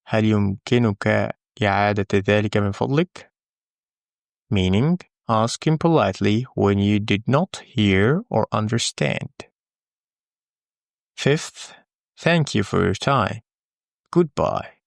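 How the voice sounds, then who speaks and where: A man who is a native Arabic speaker, teaching English in a scripted, deliberate, instructional lesson style. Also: fairly low pitch, creaky, no room sound, studio quality. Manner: deliberate, instructional, with pauses for the learner. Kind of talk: scripted language lesson, repeat-after-me drills.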